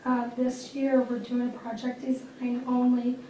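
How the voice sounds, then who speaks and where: A woman with a self-described squeaky voice giving a matter-of-fact airport manager's report at a public board meeting, clearing her throat now and then. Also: completely flat delivery, measured pace, dark tone. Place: meeting room. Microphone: distant room mic. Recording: echoey room from far off, faint noise.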